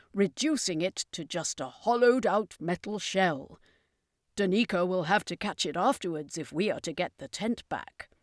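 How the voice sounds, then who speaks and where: An urgent, bossy circus master who works magic, acted out by a woman reading a storybook. The delivery is forceful and emphatic, recorded close and dry. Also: mid-range voice, dry recording, lightly acted. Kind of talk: dramatised storybook character voice.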